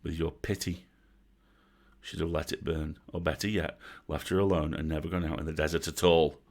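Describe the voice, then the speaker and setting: A man's voice: a bass voice, little pitch movement, moderate pace. A man voicing a blunt, fierce, weary old grandmother in a storybook, scolding and curt. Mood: weary reproach.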